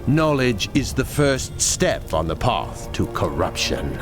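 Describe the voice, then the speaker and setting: A man's voice: mid-range voice, big pitch swings, slow. An actor playing a stern, suspicious witch hunter in a fantasy game, cold and disapproving. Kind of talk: game cutscene voice acting. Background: orchestral game music.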